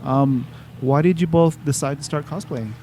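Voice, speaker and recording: Mellow-voiced male podcast host. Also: fairly high pitch, moderate pace, slight background noise. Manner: friendly, laughing, asks short questions. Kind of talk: casual interview chat.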